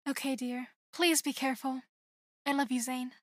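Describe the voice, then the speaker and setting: An actress playing a gentle middle-aged queen and mother in an audio drama, affectionate and courteous. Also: sits high, flat delivery, normal speed, dry recording, clean studio sound. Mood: tender concern.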